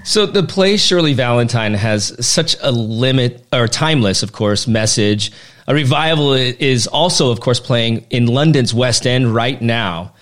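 A man's voice: mid-range voice, unhurried, a bit bright. A man hosting a podcast, interviewing a guest in a friendly way with short affirmations. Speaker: podcast host.

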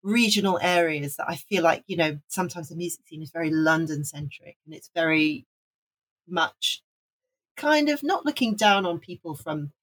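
A woman's voice: fairly low pitch, lively intonation, normal speed, a bit bright, breathy edge. A woman from Wales co-hosting a casual music podcast, talking enthusiastically and rambling with restarts. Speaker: podcast co-host.

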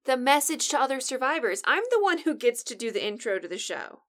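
A woman's voice: sits high, sing-song, brisk. A woman playing a bossy, sarcastic apocalypse survivor who co-hosts a radio show with dry exasperation. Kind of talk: scripted comedic audio drama, bantering radio show.

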